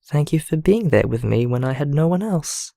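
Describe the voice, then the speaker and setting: An actor playing a vulnerable, lonely man who leaves a halting, confiding, apologetic voicemail in a naturalistic audio drama. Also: a little high, animated, creaky, mellow, no room sound, studio quality. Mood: apologetic, grateful.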